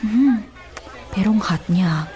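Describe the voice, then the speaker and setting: Giddy, boy-crazy young Filipina, lively swoops. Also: medium pitch, slow, some chatter behind. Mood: dreamy, chatty.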